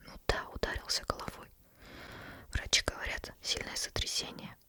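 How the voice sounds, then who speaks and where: A woman's voice: moderate pace, bright, forceful tone, natural voice. A woman whispering as a worried old librarian. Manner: whispered, fussing and relieved. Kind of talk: ASMR storytelling.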